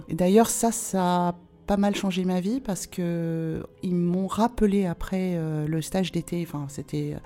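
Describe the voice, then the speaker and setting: A woman of forty-eight from France speaking conversationally as an interview guest, often pausing to search for her words. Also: lowish voice, dark tone. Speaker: podcast guest. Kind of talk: conversational interview, recounting own life.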